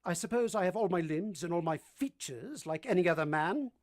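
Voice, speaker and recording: A woman playing a brusque, moody gentleman master in a vintage-style radio drama, commanding and teasing as they question. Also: fairly low pitch, expressive, fairly quick, lightly acted. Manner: imperious, teasing, theatrical questioning.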